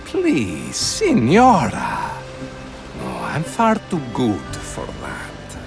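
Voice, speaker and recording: Sly, wildly swooping jester. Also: male voice, mid-range voice, wildly swooping pitch, creaky, over music, heavily acted. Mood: sly, teasing. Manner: teasing, drawn-out, swooping delivery. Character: sly, theatrical jester spirit, teasing and courtly.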